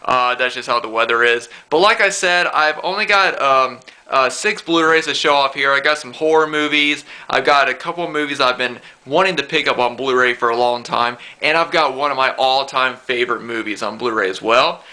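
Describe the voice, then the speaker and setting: A man's voice: medium pitch, brisk, few pauses, sharp tone. A young man with a stuffed-up nose, chatting casually to the camera about his new collection and rambling with many fillers and restarts. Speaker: collector vlogger. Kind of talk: casual to-camera show-and-tell.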